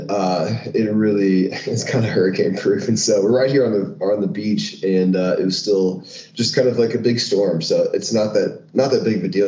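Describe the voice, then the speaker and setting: A man being interviewed as a guest, telling stories in an animated way, full of fillers like "like" and "um". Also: low-pitched, roomy sound, muffled recording. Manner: animated, filler-heavy storytelling. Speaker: retreat founder, guest. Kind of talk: casual interview chat.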